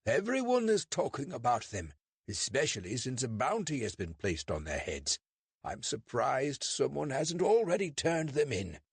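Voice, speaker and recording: A man voicing a portly, suspicious English constable who questions in a sharp, demanding way. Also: a little high, moderate pace, slightly rough, dry recording, pitched above the performer's own voice.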